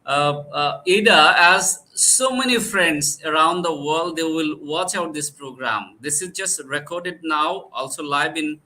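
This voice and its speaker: Bright, eager host. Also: male voice, fairly high pitch, low-quality recording. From Bangladesh. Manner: halting, many fillers and restarts. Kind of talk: online video interview.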